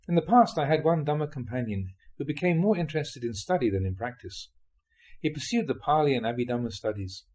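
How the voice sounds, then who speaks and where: A man reading translated Buddhist talks aloud as a monk, in an expressive storytelling delivery. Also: medium pitch.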